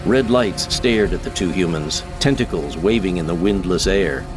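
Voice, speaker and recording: A man's voice: low voice, moderate pace, over music. A man giving scripted, dramatic narration of science fiction as an audiobook narrator.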